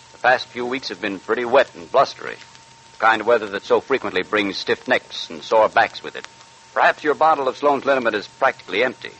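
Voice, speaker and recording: A man's voice: fairly low pitch, a bit bright, husky, poor audio. An American man announcing on 1940s radio in a polished, scripted advertising style. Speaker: radio announcer reading a sponsor ad.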